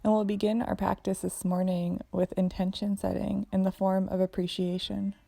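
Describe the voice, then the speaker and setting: A woman teaching yoga and guided meditation in a soothing, measured way with deliberate phrasing. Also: mid-range voice, level tone, creaky, warm tone.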